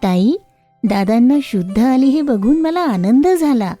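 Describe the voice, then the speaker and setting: A woman's voice: fairly high pitch, animated, measured pace, warm tone, soft background music. A woman voicing a kind, helpful little temple girl in a storybook, polite and sweet. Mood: relieved. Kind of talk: storybook character voice.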